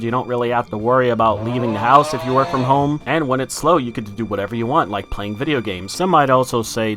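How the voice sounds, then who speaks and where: A man with a mid-pitched, monotone voice talks casually to his viewers like a video creator. His delivery is deadpan and sardonic, with blunt swearing mixed in. Music plays clearly behind him. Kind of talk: casual opinion commentary to viewers. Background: background music.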